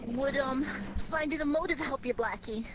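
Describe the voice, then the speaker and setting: An actress playing a playful, supportive young sidekick to a detective in a 1940s radio drama. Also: female voice, high voice, moderate pace, close to an everyday voice. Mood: curious. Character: Mary, devoted, teasing young female sidekick. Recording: noisy old 1940s radio recording.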